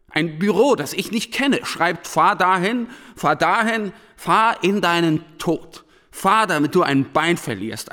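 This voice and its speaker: Becker, an impassioned, high-voiced war-weary man. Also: fairly bright tone, long reverb tail, full character voice. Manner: impassioned, halting rhetorical questions and short phrases. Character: Becker, disillusioned brooding schoolteacher back from war. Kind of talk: German radio play acting.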